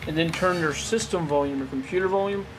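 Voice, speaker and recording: A man giving a casual, unscripted how-to demonstration in a halting, rambling way, often correcting himself, talking from behind a handheld camera. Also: on the high side, noisy background. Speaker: amateur tech tutorial presenter. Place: small indoor room at a desk. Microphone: handheld camera, off-screen close behind it.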